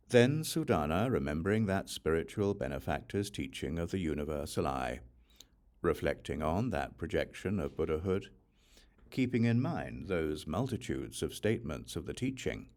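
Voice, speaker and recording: Male audiobook narrator, deep register, expressive rise and fall, solemn scriptural reading.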